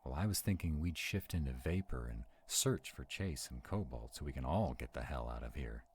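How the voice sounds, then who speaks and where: A man playing a sarcastic, protective vampire in a roleplay audio drama, wary and quick-witted, talking to unseen companions. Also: very deep voice, animated, darkish tone, slight background noise. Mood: wary, uneasy.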